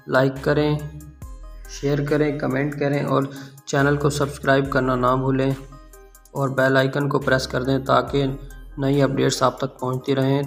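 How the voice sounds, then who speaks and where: A man hosting a casual tech app tutorial. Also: mid-range voice.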